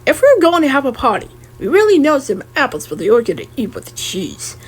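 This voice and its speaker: A woman voicing a plain-spoken male farmer in a storybook, low and drawling. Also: huge pitch swings, a little fast, slightly rough, exaggerated voice, poor audio.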